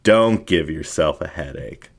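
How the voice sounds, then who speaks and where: A blunt, easygoing dingo from an audio story, played by a male reader. His voice is on the low side and lively. He talks casually, with direct, flirtatious teasing. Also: measured pace, gravelly, exaggerated voice.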